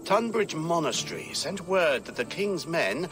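High, swooping, scheming old churchman. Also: big pitch swings, a little slow, over music. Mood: scheming, haughty. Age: older. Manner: theatrical, wry, self-important. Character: scheming, fussy older male English churchman. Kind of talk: video game cutscene acting.

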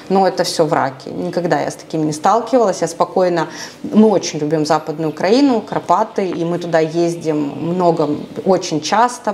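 A woman in her thirties who grew up in Russia tells her story frankly and with some liveliness. Her voice is a little low, recorded on a lapel mic in a small apartment with faint background hiss. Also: darkish tone. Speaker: interviewee. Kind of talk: documentary interview. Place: small bedroom apartment, indoors.

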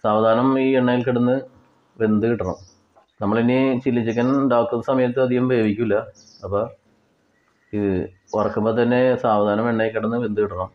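Kerala guy talking thru a recipe step by step, pauses and repeats bits, kinda dull lofi audio. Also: on the low side, level tone. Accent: Kerala Malayali. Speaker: home cook presenter.

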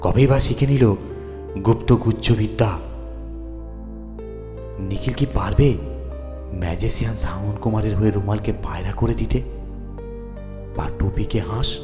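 A man narrates a horror story in the first person, with theatrical, deliberate phrasing. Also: on the low side. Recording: long reverb, music underneath. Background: background music.

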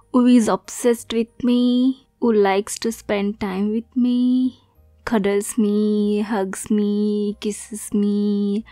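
A woman's voice: mid-range voice, mellow, dry recording, clean studio sound. A woman playing a young, obsessive yandere girlfriend kidnapper in an ASMR roleplay, cutesy and teasing with sweet menace. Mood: cooing, reciting. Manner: slow, cutesy, teasing, breathy asides.

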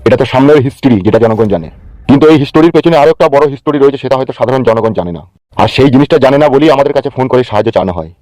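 A man's voice: medium pitch, racing, a little mellow, dry recording, natural voice, rough recording. A man playing a stern, weary, crude-tongued senior police officer in a comic cartoon, lecturing bluntly. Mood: weary, resigned.

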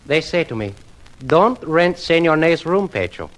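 An actor playing a smug, evasive rooming-house manager with a Spanish accent in a 1950s radio drama. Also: male voice, a little high, big pitch swings, a little slow, rough recording. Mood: smugly evasive.

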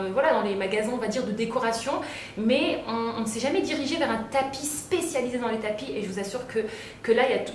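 A woman in her thirties, a home decor vlogger chatting casually to camera, with many fillers and restarts. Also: fairly high pitch. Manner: lively hand gestures, many fillers and restarts. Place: bright living room, indoors. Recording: roomy echo, faint background noise.

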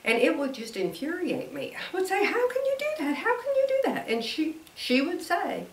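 A woman's voice: extremely high pitch, wildly swooping pitch, normal speed. A woman in her sixties giving a casual devotional talk, a little wheezy from allergies, chatty and laughing often. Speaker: devotional host. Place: room with a quilt backdrop. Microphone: camera at a distance, standing at a lectern. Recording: roomy and distant.

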